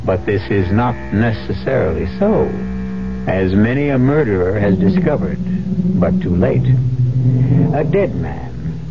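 A man narrating as host of a 1970s radio mystery in a measured, dramatic, sardonic way. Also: fairly low pitch, animated, gravelly. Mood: wry, ominous. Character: wry, ominous mystery story host. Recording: muffled old radio broadcast, faint music.

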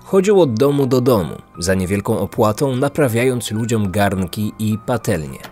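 A man's voice: lowish voice, animated, normal speed, mellow. A man hosting and narrating a true-crime story from a script. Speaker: podcast host, narrator. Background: background music.

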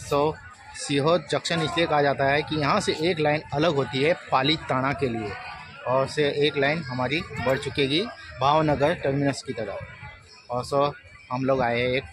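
Man vlogging over clear train noise. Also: medium pitch. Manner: informal, chatty, mixing in English words. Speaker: railway travel vlogger, host. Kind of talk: casual vlog narration of a train journey. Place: on a train and at station stops. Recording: noisy ambience with train and vehicle sound. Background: train and vehicle noise.

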